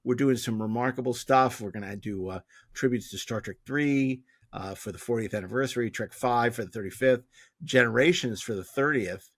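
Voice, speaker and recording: A man's voice: mid-range voice, moderate pace, no echo, studio quality. A man hosting a podcast, chatting casually and jokily in a rambling way as he promotes upcoming events. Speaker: podcast host.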